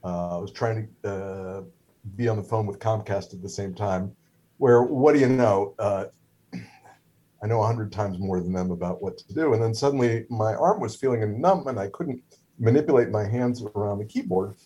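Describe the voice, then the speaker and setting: Husky low-voiced man, halting wry storyteller. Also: warm tone. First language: Hebrew. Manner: halting, many fillers and restarts, wry humor. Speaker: guest, stroke survivor. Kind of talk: casual conversational storytelling.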